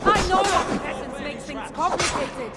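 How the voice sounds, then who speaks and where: An actress playing a confident, teasing warrior ally in a video game, wry and self-assured. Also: female voice, sits high, a little slow. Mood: pressed, defensive. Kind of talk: video game character acting. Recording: long reverb with clear background noise.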